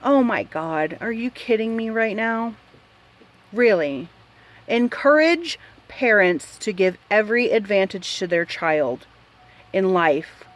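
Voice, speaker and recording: A woman with a medium-pitched voice delivers opinion commentary as a show host. She speaks slowly and deliberately, leaning hard on her points with a blunt, exasperated edge. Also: expressive. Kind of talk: opinion commentary on a news article.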